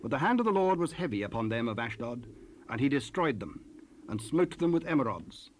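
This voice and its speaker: A man's voice: medium pitch, very animated, fairly quick. A man narrating scripture in archaic English for a dramatized Bible recording. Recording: noisy raw cassette rip.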